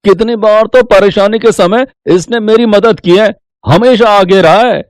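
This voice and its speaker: A man's voice: high-pitched, measured pace, dry recording, voice pushed up. A man voicing a friendly, grateful young office buddy in a cartoon story. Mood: admiring.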